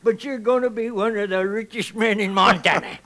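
An actor playing a grizzled, boisterous old American gold prospector in a 1950s radio western, cackling and talking in folksy frontier slang. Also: male voice, high voice, steady tone, moderate pace, bright, forceful tone. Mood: sly, jovial.

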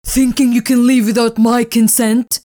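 A woman voicing a cruel, angry male landowner who shouts threats in a storybook reading. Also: fairly high pitch, little pitch movement, normal speed, pitched above the performer's own voice, lightly acted. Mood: furious.

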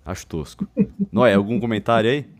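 A man's voice: fairly low pitch, big pitch swings, normal speed, a little mellow. A Brazilian man hosting a casual football podcast in a jokey way, with some theatrical flair. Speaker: podcast host.

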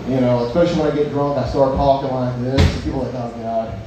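A young man doing crude, rambling stand-up, restarting jokes and mocking himself as he chats with the crowd. His voice sits at an ordinary pitch. It comes from a distance in an echoey bar, with steady noise all around. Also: quick pace. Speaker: stand-up comedian. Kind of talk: open-mic stand-up set with crowd work. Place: bar open mic. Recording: echoey bar from far off, noisy.

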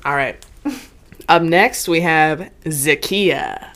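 A woman co-hosting a podcast in casual chat, animated and swearing freely, with a lot of "like". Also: sits low, very slow, slight background noise. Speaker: podcast co-host.

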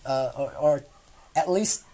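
A man's voice: mid-range voice, slow, warm tone. A Brazilian man with a Portuguese accent, a guest chatting in English with a friend, hesitant and full of fillers and repeated words. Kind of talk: casual chat in English with a friend.

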